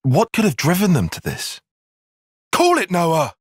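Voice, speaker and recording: A man playing a cerebral, earnest young soldier and strategist in a video game, speaking in precise, formal, analytical language and quick to exasperation. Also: sits high, huge pitch swings, husky, dry recording, studio quality, exaggerated voice. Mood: alarmed, urgent. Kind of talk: video game cutscene voice acting.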